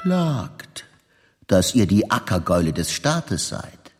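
An indignant agitator, proclaims to the oppressed with extremely slow, drawn-out words. His pitch swoops widely in theatrical declamation. The voice rings in a long reverb as part of an experimental radio play. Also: sing-song. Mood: indignant, exhorting. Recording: long hall reverb, loud music. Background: loud trombone and brass music.

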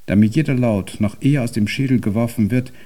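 An actor playing a deaf, cantankerous old composer in a German radio drama, speaking in an ornate, pompous and convoluted way. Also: male voice, mid-range voice, a little fast, mellow, close to an everyday voice. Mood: brooding, intense.

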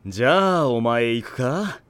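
A man voicing a steady, no-nonsense teenage team captain with a firm, decisive manner in an anime audio drama. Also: mid-range voice, a little slow, no room sound.

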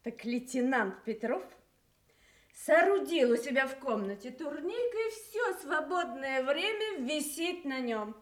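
A caring officer's wife with a high, feminine voice. She is lively and expressive, chatting at home in the manner of a Soviet radio play. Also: a little slow. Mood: amused, gossipy. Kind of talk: Soviet radio drama acting.

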